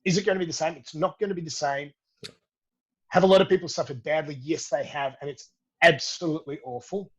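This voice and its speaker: A man's voice: a little high, a little fast, breathy edge, highs cut off. A middle-aged Australian man with an Australian accent, a fitness educator answering interview questions in an upbeat, friendly way. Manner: upbeat, calls the host mate. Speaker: podcast guest, fitness educator.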